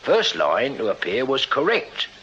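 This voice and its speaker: Bright-voiced, dutiful London police constable. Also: male voice, medium pitch, huge pitch swings, a little slow, slightly rough, heavily acted. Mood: reading reports haltingly. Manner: deferential, hesitant when reading aloud. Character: dutiful, plain-spoken London police constable, slightly bumbling. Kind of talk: British period radio drama acting. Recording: slightly dull, hissy radio-drama recording.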